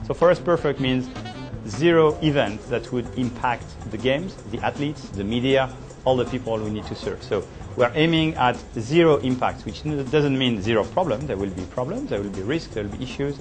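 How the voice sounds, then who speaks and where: A man's voice: mid-range voice, expressive, normal speed, over music. A man speaking as a company executive in an interview, emphatic with somewhat uneven grammar. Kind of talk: interview statement.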